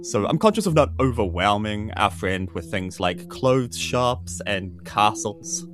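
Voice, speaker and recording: A man's voice: fairly low pitch, expressive, measured pace, music in the background. A man with a New Zealand accent playing a tabletop role-playing game, bantering casually in character.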